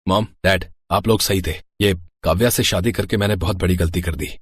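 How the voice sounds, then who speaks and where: An earnest young husband voiced by a man in a cartoon family drama. He speaks low and a little husky, in a dry recording. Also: steady tone, fairly quick, no echo. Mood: regretful. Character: earnest young husband, devoted dutiful son.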